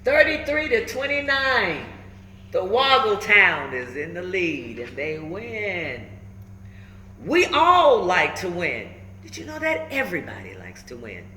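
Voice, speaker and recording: A woman reading a children's book aloud, emphatic and instructive, pausing between short phrases and adding encouraging asides. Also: lowish voice, very animated, pushed and bright. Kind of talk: animated storybook read-aloud with encouraging asides. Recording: echoey room with faint background noise.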